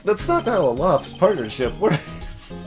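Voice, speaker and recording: A man gives casual video game commentary in a fairly high voice with lots of pitch movement. He wanders into wry, rambling asides while soft music plays behind him. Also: big pitch swings, normal speed. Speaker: let's play host. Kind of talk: casual game commentary with read-aloud captions.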